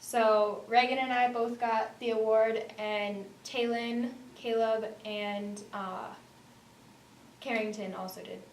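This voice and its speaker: Higher-voiced teenage girl in a roomy interview. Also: steady tone, slow. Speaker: student actor being interviewed. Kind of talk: casual interview answers. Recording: roomy, distant mic, faint noise.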